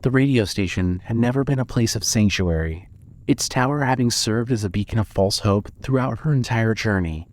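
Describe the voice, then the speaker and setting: A man's voice: lowish voice, normal speed, darkish tone. A man reading scripted material as the narrator and announcer of a fiction podcast.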